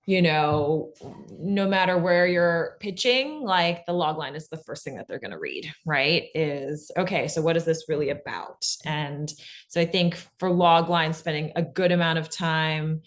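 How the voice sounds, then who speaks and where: A woman's voice: low-pitched, measured pace. A woman speaking as an expert guest in a casual panel talk, explaining things informally and often saying "like" and "you know". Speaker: expert guest on pitching audio drama.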